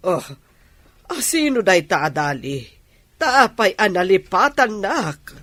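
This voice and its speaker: Slow, expressive, lonely mother. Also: mid-range voice, no room sound, heavily acted. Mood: tender. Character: lonely mother longing for her faraway child. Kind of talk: Ilocano radio drama acting.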